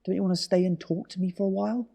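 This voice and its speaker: Anxious husband, fairly high voice, wry, hesitant, weary, naturalistic radio play. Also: male voice, steady tone, very fast, creaky, dark tone, breathy edge, exaggerated voice. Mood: worried, pleading. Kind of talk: naturalistic audio drama acting.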